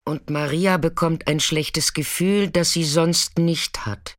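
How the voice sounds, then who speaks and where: A woman's voice: low-pitched, fairly even intonation, takes it slowly, no room sound, clean studio sound. An actress playing a chatty, caring, streetwise female sex worker in an intimate, colloquial radio drama monologue. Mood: uneasy narration.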